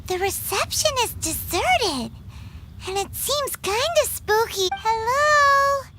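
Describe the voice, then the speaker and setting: A woman voicing a tiny, childlike fairy companion in a video game, squeaky, expressive, excitable and skeptical. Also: slow, heavy background noise, heavily acted. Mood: spooked. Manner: expressive, speaks of herself in third person. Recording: loud game music behind. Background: game music.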